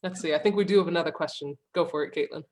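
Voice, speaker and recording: A woman hosting a program, sharing informal remarks in a slightly halting way with repeated words. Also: low-pitched, darkish tone. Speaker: program host and moderator.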